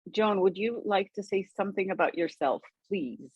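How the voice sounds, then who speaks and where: A woman's voice: mid-range voice, expressive, moderate pace, darkish tone, breathy edge, dry recording. A woman hosting an informal panel conversation on a video call, introducing her guests. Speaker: host. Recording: slightly dull, dry call audio.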